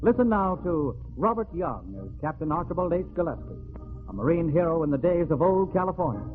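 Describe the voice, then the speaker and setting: A man's voice: fairly high pitch, big pitch swings, brisk. A man with an American accent announcing in a formal, declamatory, scripted 1940s radio style. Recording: tinny vintage radio recording. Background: loud band music.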